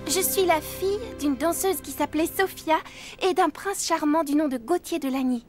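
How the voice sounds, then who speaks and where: A woman voicing a dreamy, spirited young girl in a French cartoon, earnest and expressive. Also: sits high, normal speed, close to an everyday voice. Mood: excited wonder. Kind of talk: dubbed French cartoon acting. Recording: music behind the voice.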